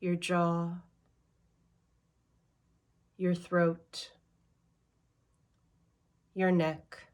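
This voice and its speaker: A woman leading a guided meditation in a measured way, leaving long pauses between short phrases. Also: lowish voice, level tone, darkish tone.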